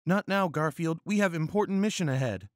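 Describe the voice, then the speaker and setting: A man acts out a serious woman first officer in a comic space story, pitched well up. She is dutiful and stiffly formal, with lively swings in a dry recording. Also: a little fast, no echo, close to an everyday voice. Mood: firm.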